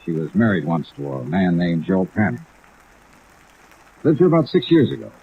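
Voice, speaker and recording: Casting: hardboiled American detective, lowish voice with lively swings, dry and persistent, thin old-radio sound. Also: male voice, moderate pace, breathy edge, phone-quality sound, full character voice. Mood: patient questioning. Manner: clipped, dry, matter-of-fact. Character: tough, dry, persistent male insurance investigator. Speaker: radio detective lead and narrator. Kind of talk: 1950s radio acting, hardboiled narration. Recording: thin old radio, music and rain behind.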